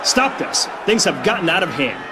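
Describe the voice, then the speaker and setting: An actor playing a stern, authoritative wrestling boss making emphatic announcements in a video game. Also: male voice, on the high side, big pitch swings, moderate pace, loud music behind the voice, a loud crowd. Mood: stern, fed up.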